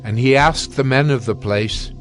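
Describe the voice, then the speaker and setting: Guy narrating bible, solemn n measured, medium pitch, faint music behind. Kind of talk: scripted scripture narration.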